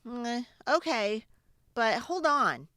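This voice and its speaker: A woman playing an animated, earnest young boy. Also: on the high side, slow pace, no echo, clean studio sound, pitched above the performer's own voice, heavily acted. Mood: hesitant. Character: earnest young boy telling about his new enemy.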